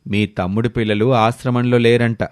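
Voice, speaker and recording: A man voicing a mildly exasperated, news-bearing husband in an animated moral story. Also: mid-range voice, normal speed, no echo, clean studio sound, lightly acted.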